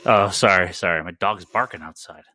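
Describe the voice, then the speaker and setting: A man co-hosting a casual panel discussion, talking haltingly and often stammering over repeated words. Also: low-pitched, pushed and bright, no echo, studio quality. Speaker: podcast co-host.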